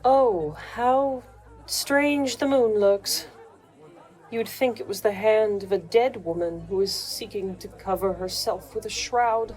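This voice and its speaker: An actress playing a fearful, superstitious attendant in a classical audio drama, speaking with ominous wonder. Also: female voice, medium pitch, takes it slowly, a little mellow, some chatter behind, close to an everyday voice, rough recording. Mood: eerie wonder.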